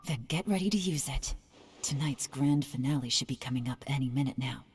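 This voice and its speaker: A cool, very low-voiced spy. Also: female voice, a little fast. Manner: calm, low and businesslike. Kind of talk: game cutscene voice acting.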